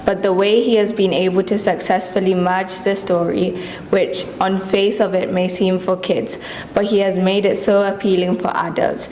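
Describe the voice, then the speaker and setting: A woman reading prepared messages aloud at a launch event, in a measured, formal way. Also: fairly low pitch, a little mellow, roomy sound, slight background noise, rough recording.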